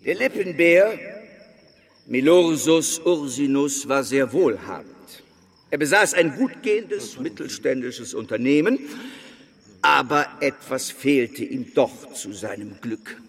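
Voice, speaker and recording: Slow, fervent preacher in a reverberant hall. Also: male voice, mid-range voice, animated, vocal fry, breathy edge, full character voice. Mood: solemn storytelling. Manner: declamatory, drawn-out, solemn. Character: fervent reverend preaching a strange animal parable. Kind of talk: radio drama sermon.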